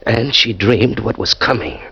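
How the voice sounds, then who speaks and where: A hushed would-be murderer. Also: male voice, on the low side, expressive, unhurried, creaky, husky, full character voice. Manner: hushed, menacing. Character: man plotting to smother his wife. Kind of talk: 1940s radio acting. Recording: muffled old radio recording.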